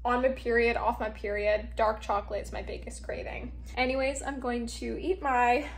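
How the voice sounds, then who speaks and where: A woman's voice: on the high side, flat delivery, moderate pace, gravelly. Chatty young woman with a stuffy nose. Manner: chatty, bubbly, says "like" a lot. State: nasal from a cold. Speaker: vlogger. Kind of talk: casual voice-over narration. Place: home kitchen, indoors.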